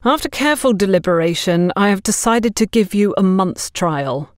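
A woman's voice: mid-range voice, expressive, dry recording, studio quality, close to an everyday voice. Woman acting a stern, formal shop boss. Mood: stern, businesslike. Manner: formal, measured.

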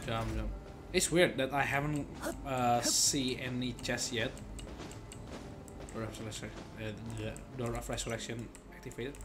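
A man's voice: mid-range voice, steady tone, moderate pace, noisy background. A young man giving casual, rambling gameplay commentary, often repeating his words. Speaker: game streamer. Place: indoor room, game music behind.

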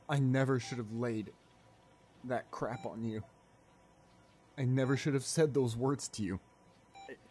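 A man playing a tender, remorseful young boyfriend in an ASMR audio roleplay, speaking softly and haltingly with emotion. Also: medium pitch, a little hiss or hum, lightly acted. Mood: disbelieving, guilty. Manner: halting, soft-spoken, emotional delivery with pauses. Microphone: close studio condenser microphone.

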